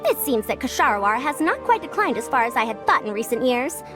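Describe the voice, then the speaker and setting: A woman's voice: on the high side, sing-song, fast talker, over music. A woman voicing a proud, youthful-sounding scholar who lectures like an elder in old-fashioned phrasing, in a video game. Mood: smug. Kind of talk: animated video game voice acting.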